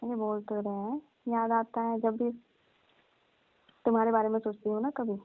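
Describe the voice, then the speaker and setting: Over a thin, phone-like line comes a woman acting as a coy young female cousin. Her voice is on the low side, and she chats in a colloquial, teasing and bashful way, as in a staged phone call. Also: level tone, normal speed, no echo, lightly acted. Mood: gently defensive. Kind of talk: staged casual phone chat.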